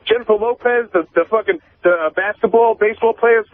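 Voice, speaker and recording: A man's voice: sits high, fast talker, dry recording. A man phoning into a radio show, chatting casually with lots of swearing, stammering and defensive. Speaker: phone-in guest and former show staffer. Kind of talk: casual profane chat. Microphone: phone. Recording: tinny, narrow phone line.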